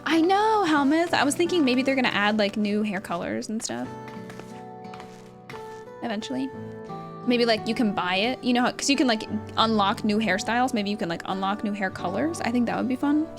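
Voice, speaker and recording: A woman streamer giving casual, animated gameplay commentary, joking and slangy, prone to excited outbursts. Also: a little high, brisk. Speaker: streamer playing an online role-playing game. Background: game music.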